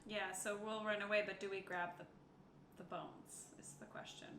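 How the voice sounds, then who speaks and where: A woman's voice: mid-range voice, little pitch movement, normal speed, a bit bright. A woman chatting casually as a player in a tabletop roleplaying game. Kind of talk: casual chat during a game. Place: indoor room. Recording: echoey room, clear background noise.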